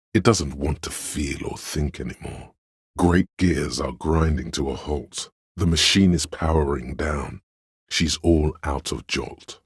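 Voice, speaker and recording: A man's voice: dry recording, studio quality, full character voice. A man voicing a grandiose, rapturous inner voice of artistic imagination in a video game, with theatrical, savouring delivery of poetic lines. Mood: brooding, poetic. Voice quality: very deep, gravelly, husky. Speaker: game voice actor. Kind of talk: dramatic literary game narration.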